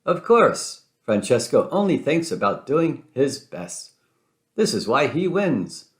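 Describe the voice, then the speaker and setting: A man's voice: mid-range voice, very animated, takes it slowly. A man voicing a boastful Italian race car in a children's storybook, with an Italian accent and a pompous manner. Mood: proud, declarative. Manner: pompous, speaks of himself in third person. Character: boastful Italian male race car, grandly self-assured.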